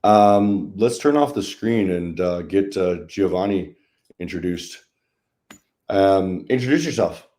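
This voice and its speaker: Deep-voiced man in an echoey room. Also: slow, mellow. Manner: laid-back, chatty, many fillers. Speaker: trading livestream host. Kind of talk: casual market chat over charts.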